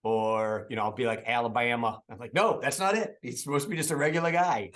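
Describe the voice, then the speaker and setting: This is a middle-aged man with a Boston accent, talking casually as a podcast host. He fires off quick, prodding questions and laughs easily, at an ordinary middle pitch. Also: a bit bright. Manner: chatty, laughs often, prods with quick questions. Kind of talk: casual interview chat.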